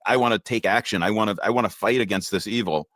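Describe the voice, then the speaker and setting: Deep-voiced male interviewer. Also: fast, a bit bright, no echo, clean studio sound. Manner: probing, rephrasing the guest's answers with stumbles. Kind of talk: interview questions.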